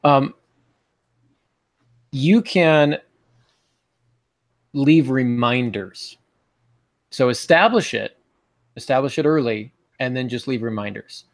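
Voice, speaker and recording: Podcast host: American male, forties, creaky voice, informal teaching style. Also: mid-range voice, slow pace, pauses often. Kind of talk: casual instructional podcast talk. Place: small home office, indoors. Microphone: earbud headset on video call.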